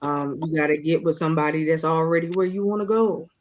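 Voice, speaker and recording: A woman's voice: low voice, fairly even intonation, fast, muffled recording, background noise. Woman host, preachy motivational delivery. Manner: preachy, repeats phrases, checks in with listeners. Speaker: radio show host. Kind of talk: faith-based motivational talk.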